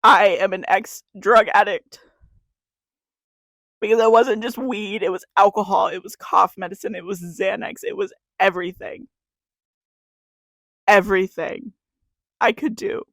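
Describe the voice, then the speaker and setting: A woman's voice: mid-range voice, steady tone, unhurried, pauses often, a bit bright, no echo. A 21-year-old woman, crying as she reads a confessional spoken-word poem to camera, with a repeating refrain. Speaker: YouTuber.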